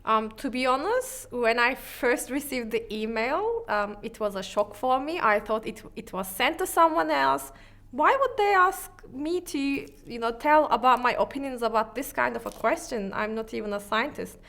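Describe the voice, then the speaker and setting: A woman's voice: fairly high pitch, lively intonation, moderate pace, a bit bright. A woman, speaking candidly with many fillers in a documentary interview. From Turkey. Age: 30s. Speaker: citizen juror.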